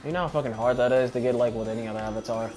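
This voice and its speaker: A man's voice: mid-range voice, steady tone, rapid-fire. Casting: male gaming host, casual rambling commentary with frequent swearing, prominent game music underneath. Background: loud video game music.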